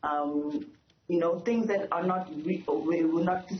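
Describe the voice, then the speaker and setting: A woman's voice: on the low side, measured pace. A woman speaking earnestly as an expert guest in a news interview, explaining things and repeating words for emphasis. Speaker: author and educator, interview guest. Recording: dull, echoey lo-fi remote link.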